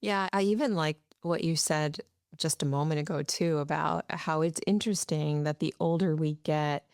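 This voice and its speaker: A woman's voice: low voice, fairly even intonation, moderate pace, a little mellow, dry recording, studio quality. A woman from the United States hosting a podcast interview, talking through ideas thoughtfully. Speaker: podcast host. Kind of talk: casual interview chat.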